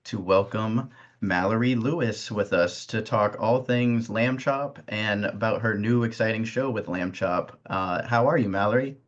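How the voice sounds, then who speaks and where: A man's voice: on the low side, unhurried. A man hosting a casual interview with hesitant phrasing, on slightly muffled audio. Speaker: interviewer, host.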